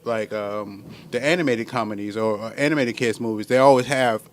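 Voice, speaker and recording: A man's voice: lowish voice, brisk, a little hiss or hum. An American man in a casual podcast chat, speaking in heavy, profane slang with emphatic repetition.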